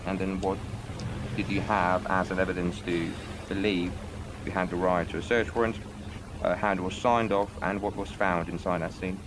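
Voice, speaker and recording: A man questions a witness as a lawyer in a roleplay courtroom, formal but hesitant and full of fillers. His voice is very deep. Clear background noise runs under the game voice chat. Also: flat delivery, moderate pace.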